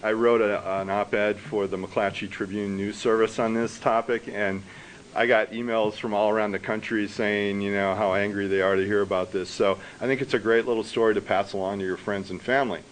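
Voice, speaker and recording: A man with a booming voice giving a conversational conference talk with fillers, recorded through a microphone set down in a conference hall. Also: level tone, a little fast. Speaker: conference speaker.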